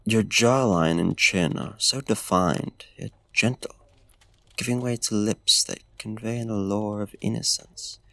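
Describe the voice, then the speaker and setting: A man voicing a courtly, smitten vampire in a soft-spoken ASMR roleplay, with formal, old-fashioned, genteel diction and a hushed, lingering delivery. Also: lowish voice, measured pace, gravelly, no room sound. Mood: entranced, seductive. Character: courtly, smitten male vampire tending a frostbitten guest. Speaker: ASMR voice actor.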